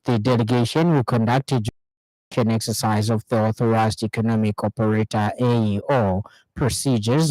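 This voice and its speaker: A man with a Zambian accent reading a radio news bulletin in a formal, measured way as the presenter. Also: mid-range voice, darkish tone, no echo, low-quality recording.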